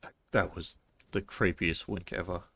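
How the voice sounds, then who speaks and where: A man reacting casually to a game as a streamer, with a deadpan manner. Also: sits low, normal speed, gravelly, husky, dry recording.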